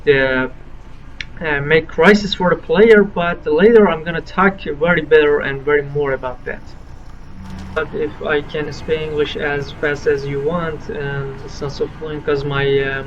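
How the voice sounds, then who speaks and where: A Farsi-speaking man, giving casual game commentary in halting English as he searches for words. His voice sits a little high. The recording is distant and muffled, with faint noise behind it. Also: steady tone, a bit bright. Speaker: gaming video host. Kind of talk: casual let's-play commentary.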